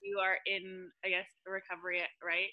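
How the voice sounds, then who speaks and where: A woman's voice: medium pitch, level tone, moderate pace, highs cut off. A woman hosting a podcast in a bubbly, giggly, upbeat way, chatting casually with her guest. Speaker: podcast host.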